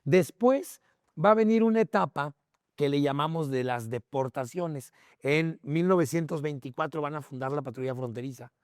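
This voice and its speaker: A man's voice: on the high side, moderate pace, no room sound, clean studio sound. A man with a Mexican accent explaining things as a podcast guest in a conversational, didactic way, with short tag questions to check in. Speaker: guest expert on history.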